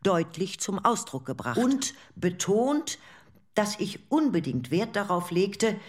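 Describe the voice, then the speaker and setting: A woman reading the part of an indignant male government official in a documentary radio play, formal and measured. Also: fairly low pitch, darkish tone.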